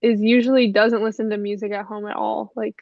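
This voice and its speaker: A young woman musician with a slightly stuffy voice, chatting casually in an interview over a video call, rambling with many fillers and soft laughs. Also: mid-range voice, little pitch movement, brisk, creaky, no echo. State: a little stuffy. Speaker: musician guest. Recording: dull lo-fi call audio, dry.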